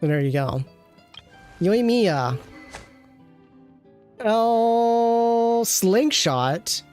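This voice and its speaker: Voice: mid-range voice, lively intonation, very slow, a little mellow, soft background music. A game streamer reviewing an account with casual commentary, thinking aloud and often trailing off mid-thought.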